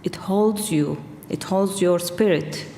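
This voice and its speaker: A woman's voice: lowish voice, dark tone. A woman in her forties, a poet whose first language is Kurdish, speaking English with a Turkish accent, answering thoughtfully on a festival panel into a table microphone. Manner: slow, thoughtful, searching for words. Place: large hall with audience. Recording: roomy hall reverb, faint room noise.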